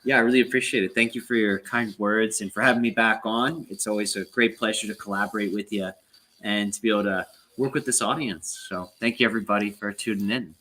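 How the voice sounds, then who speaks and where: A man's voice: fairly low pitch, steady tone, fast talker, pushed and bright. A man speaking as a guest presenter in polite, appreciative and conversational style. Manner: courteous, appreciative. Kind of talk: polite sign-off chat.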